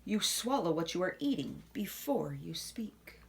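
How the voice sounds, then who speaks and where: A woman reading a children's picture book aloud expressively, with dramatic pauses and hushed asides. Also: fairly low pitch, very animated, vocal fry, a little hiss or hum.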